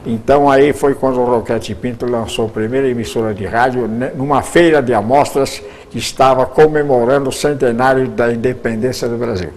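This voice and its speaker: A man's voice: medium pitch, steady tone, a little fast, a little mellow. An older Brazilian man, a radio broadcaster, answering interview questions at length, often repeating words as he searches for them.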